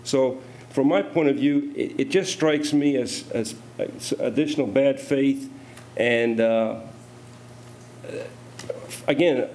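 A man's voice: mid-range voice, takes it slowly, a little mellow. A middle-aged man alderman questioning budget figures with skeptical, pointed challenges during a city council debate, speaking into a desk microphone.

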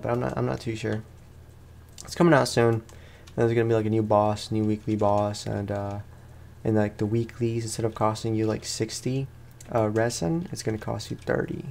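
Gravelly young man, casual gaming commentary. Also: lowish voice, darkish tone. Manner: informal, slangy, rambling. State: tired. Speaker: gaming video host. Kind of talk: casual let's play commentary.

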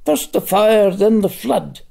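An elderly Scottish man voicing a selfish man thrilled by disaster in a story reading, eager and exclamatory, creaky and husky. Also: sits high, expressive, takes it slowly, mellow, heavily acted. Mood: exultant.

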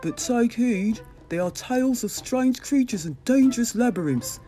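Woman acting cautioning villager, raised pitch. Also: lively intonation, moderate pace, dark tone, faint music behind, close to an everyday voice. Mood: warning. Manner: ominous, cautioning. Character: village man or woman warning of dangers.

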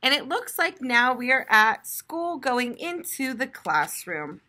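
A young American woman reads aloud like a primary school teacher, explaining as she goes. Her voice is fairly high and bright, with animated, expressive pitch. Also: slow pace, a little hiss or hum. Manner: expressive, explanatory, clearly addressed to children. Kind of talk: read-aloud lesson for young children. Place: classroom, indoors.

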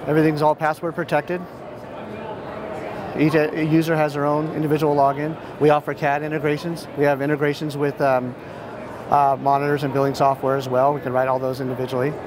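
A man's voice: fairly high pitch, monotone, a little fast. An American man in his thirties giving an informal product demo, explaining step by step in a matter-of-fact way. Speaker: software company representative giving a product demonstration. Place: large indoor expo hall. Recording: noisy busy trade show floor. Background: clear ambient hall noise.

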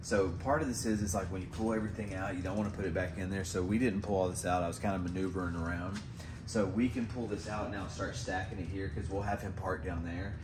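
A man in his thirties hosting a casual storage-unit vlog, chatty and excited, talking straight to viewers as he digs through boxes in a storage hallway. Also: low voice, fast talker, background noise. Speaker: storage unit treasure hunter host. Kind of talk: casual vlog unboxing chat. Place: indoor self-storage hallway.

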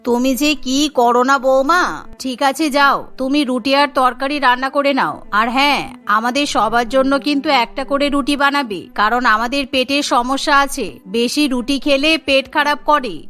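Woman putting on a bossy scolding mother-in-law, bright voice. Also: high-pitched, measured pace, soft background music, voice pushed down. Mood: reproachful, bossy. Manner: bossy, reproachful, fussy orders. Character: strict, stingy, scolding middle-aged mother-in-law.

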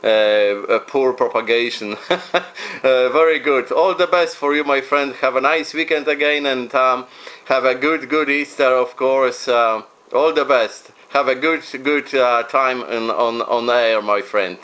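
A friendly man talks as an amateur radio operator. His English is hesitant and polite, and he spells out call signs phonetically. His pitch sits in the middle for a man. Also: unhurried, a bit bright. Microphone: radio desk microphone.

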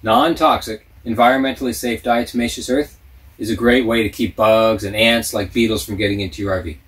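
A middle-aged man calmly explaining a camping tip in scripted narration, recorded indoors in an RV. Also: fairly low pitch, brisk. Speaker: vlogger.

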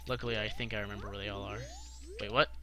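A man's voice: on the low side, little pitch movement, very fast, over music. A young man giving casual, foul-mouthed, slangy live commentary while streaming a video game. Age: young adult.

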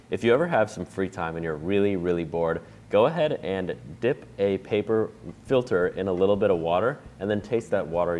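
Guy in his 30s with a low voice, chatty coffee how-to, bright room with faint hum behind. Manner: relaxed, chatty, explaining step by step with fillers. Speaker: coffee shop owner demonstrating a brew recipe. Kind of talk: casual how-to demonstration. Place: bright indoor room with a table.

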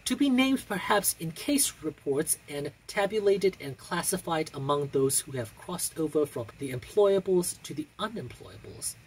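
A young adult with an androgynous, husky voice reciting poetry in a measured, theatrical way with deliberate pauses. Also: mid-range voice, lively intonation, slight background noise, low-quality recording. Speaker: poetry reader.

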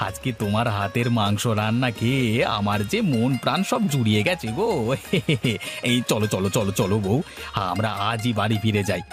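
A man voicing a fretful, food-loving village husband in a cartoon, with an exclamatory, stammering, drawn-out delivery. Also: fairly low pitch, natural voice. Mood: gleeful. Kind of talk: cartoon dialogue acting. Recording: music bed behind voice.

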